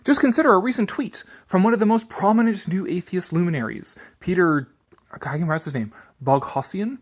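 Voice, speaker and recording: A man's voice: sits high, fairly quick. A man giving a sardonic commentary and theatrical reading of an article, drifting into rambling asides.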